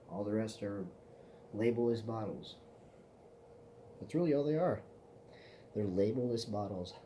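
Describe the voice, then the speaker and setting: Low, dark-voiced young man rambling. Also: slight background noise. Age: 20s. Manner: loose, profane, meandering. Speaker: vlog host. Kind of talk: casual rambling chat.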